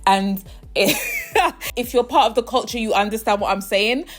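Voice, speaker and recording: A woman's voice: on the high side, normal speed. A young woman talking casually in her own vlog, chatty and often checking in with the viewer. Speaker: vlogger host. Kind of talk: casual personal vlog monologue.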